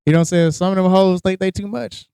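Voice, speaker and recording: A man's voice: high-pitched, fast, vocal fry, clean tone, dry recording, studio quality. A man co-hosting a podcast, telling crude, joking stories in a casual chat. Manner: crude, joking, animated storytelling. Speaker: podcast co-host.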